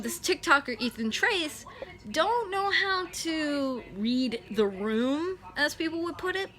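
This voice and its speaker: Young woman vlogger, nineteen, high bright voice, chatty and self-correcting. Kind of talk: casual talking-head explainer.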